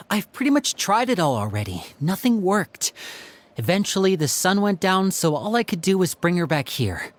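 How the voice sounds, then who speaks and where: A performer playing a calm, earnest youth in a video game, measured and telling things like a story. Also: mid-range voice, natural voice. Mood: concerned.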